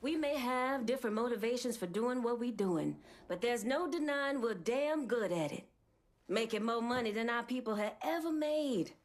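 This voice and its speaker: An actress as a proud female gang leader in a crime game. Her voice is fairly high, measured and commanding. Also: lightly acted. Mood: proud, assured. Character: proud, defiant woman crime boss. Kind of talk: video game cutscene acting.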